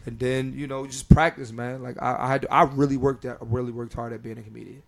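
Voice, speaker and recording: A man's voice: mid-range voice, level tone, quick pace, gravelly. A man in his thirties from Philadelphia talks as a guest comedian in a loose, easygoing chat. He rambles in long, winding runs with plenty of fillers and restarts. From Philadelphia area, US. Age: 30s. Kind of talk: casual chat.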